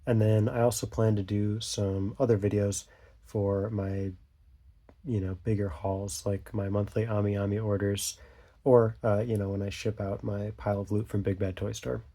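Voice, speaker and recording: A young man with a low, mellow, stuffy voice, getting over a cold. He rambles through an unscripted vlog in a flat, even tone, full of ums and trailing thoughts. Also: moderate pace. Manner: hesitant, many fillers and trailing thoughts. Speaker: channel host.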